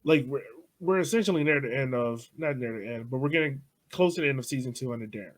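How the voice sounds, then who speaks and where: A young man co-hosting a casual sports podcast, talking loosely with frequent laughs and soft trailing asides. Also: mid-range voice, fast. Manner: loose and chatty, laughs often, trails off softly. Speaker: podcast co-host.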